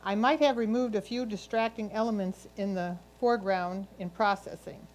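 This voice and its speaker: A woman's voice: mid-range voice, moderate pace, darkish tone, low-quality recording. A woman reading written photo critiques aloud at a club competition. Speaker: reader of judges' comments.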